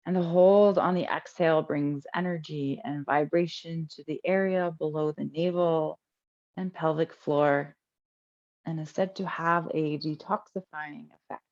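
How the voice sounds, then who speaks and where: A woman teaching a guided breathing meditation in a calm, unhurried way with long pauses between instructions. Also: sits low, dull audio.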